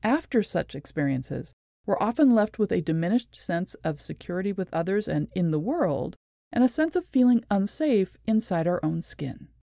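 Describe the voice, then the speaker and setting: A woman presenting a scripted explanatory talk in a measured, deliberate and articulate way. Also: mid-range voice, sing-song, gravelly, warm tone, no echo, clean studio sound. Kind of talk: scripted explanatory narration with read quotations.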